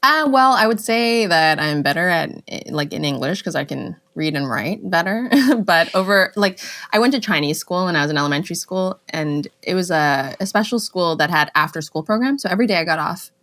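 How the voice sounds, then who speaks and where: A young woman from Canada, guesting on a talk show, her voice sitting a little low. She tells stories in a loose, chatty way, full of fillers and quick laughs. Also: animated, a bit bright. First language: Cantonese. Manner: chatty storytelling, laughs often, many fillers. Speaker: actress guest. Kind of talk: casual interview chat.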